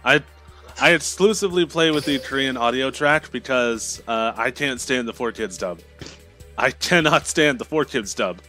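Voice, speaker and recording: A young man chats casually in a stream voice call with music clearly playing behind him. His delivery is halting, and he swears freely in a middling pitch. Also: lively intonation, sharp tone. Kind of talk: casual voice-chat banter on a game stream.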